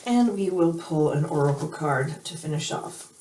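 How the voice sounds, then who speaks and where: A woman hoarse from allergies giving a weekly tarot reading, hesitant with many fillers and restarts. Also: low-pitched, animated, moderate pace, distant mic, noisy background. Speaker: tarot reader. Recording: roomy, from off the mic.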